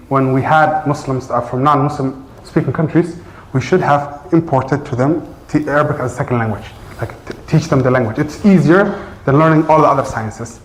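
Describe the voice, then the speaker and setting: A man's voice: mid-range voice, a little hiss or hum. A man who teaches Arabic, speaking English as his first language, gives an earnest, loose talk to his class with many fillers and restarts. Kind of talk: informal address to a class. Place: large room in a community center. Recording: roomy echo.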